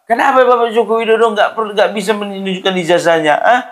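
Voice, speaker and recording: High-pitched mocking male commentator. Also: steady tone, quick pace. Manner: mocking, scoffing, rhetorical questions. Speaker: political commentator. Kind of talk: informal ranting commentary.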